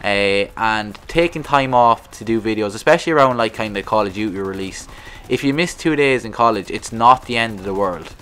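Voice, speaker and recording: A man's voice: fairly low pitch, fairly quick, fairly bright tone, smooth. A man in his twenties with a thick rural Irish accent, talking casually and rambling to camera as a gaming channel host. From Ireland. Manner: rambling, restarts, frequent "like I said".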